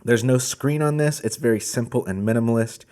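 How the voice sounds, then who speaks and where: A man's voice: mid-range voice, a little fast, a little mellow. A man hosting a product video, walking through gear in a casual presenting style. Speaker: host of a product channel.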